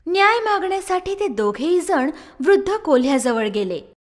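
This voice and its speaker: High, animated woman storyteller. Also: normal speed, long reverb tail, noisy background. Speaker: narrator. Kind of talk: scripted children's story narration.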